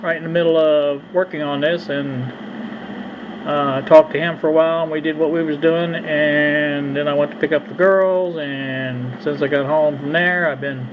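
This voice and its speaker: A middle-aged or older man casually talking through a hands-on repair at a workbench, in a halting, folksy, unhurried way. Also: a little high, steady tone. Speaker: hobbyist radio repair vlogger. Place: indoor workbench. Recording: dull lo-fi sound with background noise.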